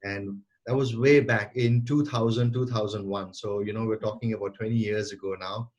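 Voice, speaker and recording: Man in his forties, lowish voice, earnest, stumbles and restarts words, remote call mic. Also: steady tone, moderate pace, a little mellow, low-quality recording. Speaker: guest entrepreneur and sales coach. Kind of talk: conversational interview answers.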